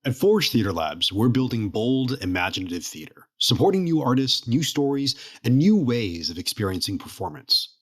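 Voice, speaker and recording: Male announcer, mid-pitched and lively, scripted promotional read for a theater company. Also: moderate pace.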